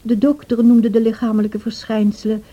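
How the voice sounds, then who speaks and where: An actress playing a devoted, troubled housekeeper who tells a tragic story in a radio drama, in a measured, confiding way. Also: female voice, fairly high pitch, flat delivery, close to an everyday voice. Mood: sombre storytelling. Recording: dull old radio play recording.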